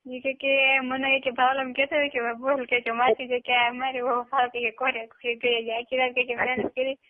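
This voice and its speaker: A woman's voice: sits high, flat delivery, normal speed. A woman with a Gujarati accent in a casual, private phone conversation, talking colloquially. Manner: colloquial, brief backchannels. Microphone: telephone line. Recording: tinny narrowband phone call recording.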